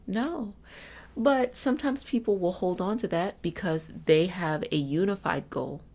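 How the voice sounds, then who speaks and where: A woman with a lowish voice and plenty of vocal fry talks like a self-help coach in an ASMR session. She sounds reassuring and conversational, soothing the listener as she explains things. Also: measured pace, a little mellow. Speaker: ASMR host and energy healing practitioner.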